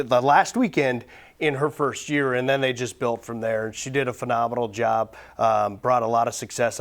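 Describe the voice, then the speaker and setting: An American man, a play-by-play announcer, talking through sports analysis as a guest in a casual conversational way. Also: mid-range voice.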